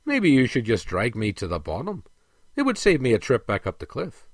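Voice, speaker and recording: A male reader acts out a proud dwarf prince sunk in despair, from a fantasy storybook. His middle-pitched voice is blunt and questioning, with an expressive swing to it. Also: normal speed, no echo, clean studio sound. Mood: bitter.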